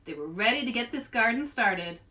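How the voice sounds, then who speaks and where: A woman reading aloud and demonstrating for children in an animated, expressive way, as a children's author and host. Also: fairly high pitch, a little fast, far from the mic. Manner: animated, expressive delivery to young listeners.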